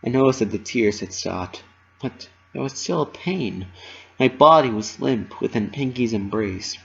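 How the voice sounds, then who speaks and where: Creaky-voiced man giving a dramatic reading. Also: medium pitch, lively intonation, a little slow, fairly bright tone. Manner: earnest, emotive. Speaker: narrator. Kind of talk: dramatic reading of fan fiction. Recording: dull lo-fi recording.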